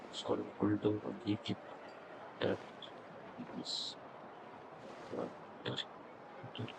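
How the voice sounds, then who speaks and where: Casting: male game streamer, slow halting read-aloud, fry in the voice, noisy setup. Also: lowish voice. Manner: halting, mumbled reading.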